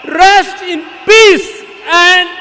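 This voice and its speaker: A man's voice: very high voice, fairly even intonation, drawn-out words, bright, forceful tone, slight background noise. A man with a Kenyan accent reading a formal eulogy at a state funeral in a solemn, declamatory, projected delivery. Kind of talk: formal read eulogy, public oratory. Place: large open-air stadium. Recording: reverberant stadium PA with long echo.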